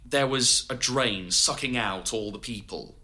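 An actor playing a curious, sympathetic oral-history interviewer, asking questions in a conversational way. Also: male voice, mid-range voice, measured pace, sharp tone.

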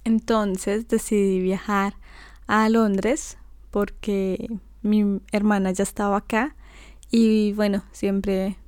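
Young Colombian woman, co-host, unhurried casual chat, laughs easily, dry close sound. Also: medium pitch, smooth, no echo. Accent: Colombian Spanish. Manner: relaxed, laughs often, many fillers. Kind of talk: casual conversation.

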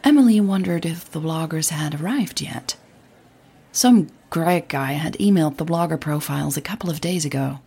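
A woman with a husky, breathy voice narrating a scripted audio drama story. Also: deep voice, normal speed, warm tone.

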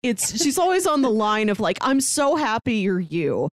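A woman's voice: a little high, big pitch swings, normal speed, no room sound, studio quality. A woman co-hosting a podcast, talking through a film in a casual, conversational way. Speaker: podcast co-host. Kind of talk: casual film discussion chat.

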